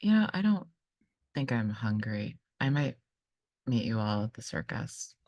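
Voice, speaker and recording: A woman playing a guarded, quietly determined female traveller in a tabletop game, speaking in an understated, hesitant way. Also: rumbling low, very animated, measured pace, dull audio, heavily acted. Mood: dreamy.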